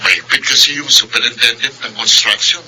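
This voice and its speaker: A man playing a busy elder brother, an engineer, in a Filipino radio drama, brisk and businesslike. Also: medium pitch, pushed and bright. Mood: matter-of-fact. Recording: noisy radio broadcast with background ambience.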